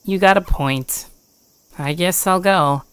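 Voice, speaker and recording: A woman's voice: fairly low pitch, takes it slowly, clear, resonant tone. A woman voicing a bored, whiny fourteen-year-old boy in a scripted audio drama.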